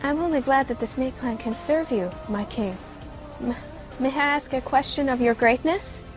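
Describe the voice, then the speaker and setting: A woman's voice: sits high, normal speed, close to an everyday voice. A woman playing a devout, deferential clan queen in a fantasy audio drama, speaking humbly and reverently. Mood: nervous, reverent. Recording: loud music under the voice.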